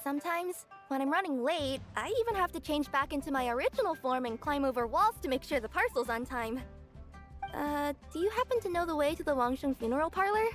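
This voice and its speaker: A woman's voice: high-pitched, lively intonation, a little fast, a bit bright. A woman voicing a young cat-spirit courier, earnest, polite and eager to please, in a video game cutscene. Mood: friendly, chatty. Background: game music.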